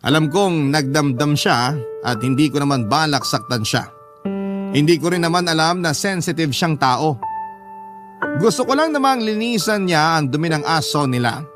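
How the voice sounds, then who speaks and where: A man reading a dramatic scripted story as a storyteller. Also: fairly high pitch. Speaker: storyteller reading a listener's letter. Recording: music behind the voice. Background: background music.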